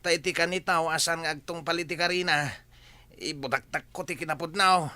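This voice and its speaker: A nervous henchman , played by a man, stammers and pleads in an Ilocano accent. His voice is high, very bright and close-sounding, in radio drama style. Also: fairly even intonation, brisk, no echo. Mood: nervous confessing. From Philippines. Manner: hesitant, stammering.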